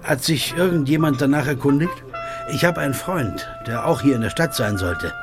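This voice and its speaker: A man's voice: mid-range voice, animated, moderate pace, music in the background, lightly acted. An actor playing an earnest jazz pianist who questions people and hesitates when he's worried. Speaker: narrator and protagonist. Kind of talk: audiobook drama acting.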